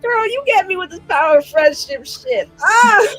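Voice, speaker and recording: High, lively woman bantering over music. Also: moderate pace. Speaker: tabletop roleplaying game player. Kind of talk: casual animated banter.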